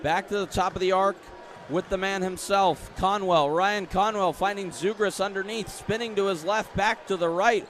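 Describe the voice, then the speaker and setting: A man doing live basketball radio play-by-play as the announcer, with excited bursts. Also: sits high, moderate pace, slight background noise. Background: basketball arena ambience, bouncing balls.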